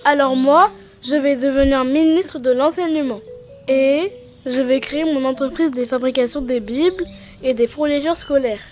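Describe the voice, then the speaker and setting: High-pitched woman voicing an eager little girl. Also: moderate pace, a little mellow, faint music behind, close to an everyday voice. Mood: eager, earnest. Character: affectionate young girl, cartoon daughter.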